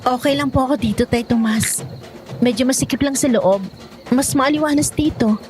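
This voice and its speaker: A woman's voice: high voice, moderate pace, darkish tone, soft background music, close to an everyday voice. A woman with a Filipino accent playing a polite, anxious pregnant young wife in an audio horror drama. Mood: guarded, polite.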